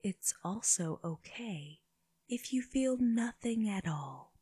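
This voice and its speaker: A woman leading a guided meditation for children, speaking gently in short phrases with long pauses, her breathy voice often dropping toward a whisper. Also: medium pitch, animated, no room sound. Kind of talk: slow guided body scan meditation.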